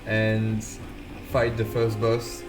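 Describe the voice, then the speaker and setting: Man, French accent, game dev on a live stream, halting speech, lowish voice, game music behind. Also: completely flat delivery, rough recording. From France. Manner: halting, self-deprecating, restarts phrases. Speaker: game developer. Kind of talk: casual live-stream chat while playing. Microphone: voice call.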